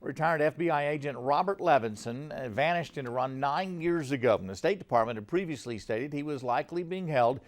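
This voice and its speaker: Male TV news anchor, slightly high voice. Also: animated, brisk, few pauses. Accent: American. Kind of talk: scripted newscast reading.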